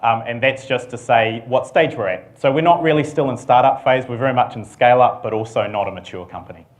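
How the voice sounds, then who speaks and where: A middle-aged man with a New Zealand accent, a company CEO giving a relaxed conference presentation with dry humor and frequent ums. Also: medium pitch, brisk.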